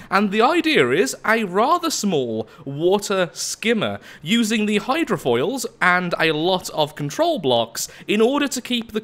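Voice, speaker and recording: A man hosting casual gameplay commentary while sick with the flu and losing his voice, speaking in a lively, upbeat way. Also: high voice. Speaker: gaming channel host.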